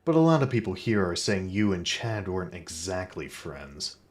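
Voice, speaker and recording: Comedy noir private eye, male actor: deep, deadpan, dramatic and clueless, echoey with music underneath. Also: normal speed. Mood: suspicious. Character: self-serious, dim-witted male private detective, narrating his case. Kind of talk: parody noir narration and character dialogue.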